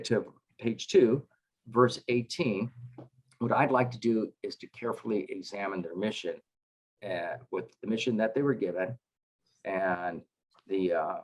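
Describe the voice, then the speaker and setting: A middle-aged man, a chaplain, teaching a casual scripture class over a video call, halting with lots of filler sounds and dropping wry asides. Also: fairly low pitch, poor audio. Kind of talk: casual online scripture lesson. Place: home with dogs in another room.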